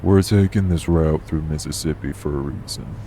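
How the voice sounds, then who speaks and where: Man acting a very deep, gravelly, wary vampire. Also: normal speed, mellow, a little hiss or hum. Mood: calm, wary. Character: steady, guarded male vampire traveler, streetwise, wary. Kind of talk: audio roleplay acting.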